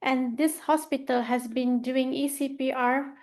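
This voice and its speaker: Young malaysian-born doc giving a talk, high voice that stays pretty flat, lots of ums and likes. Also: female voice, unhurried. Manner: informal, loose phrasing with fillers, speaks from slides. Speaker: emergency medicine trainee presenting. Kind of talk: presentation of an elective report.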